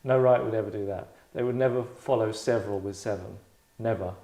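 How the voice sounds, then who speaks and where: A man hosting and directing a table read, chatting casually in a tongue-in-cheek acting critique, deadpan, rambling and self-satisfied. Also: on the low side, brisk. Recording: roomy, echoey indoor sound.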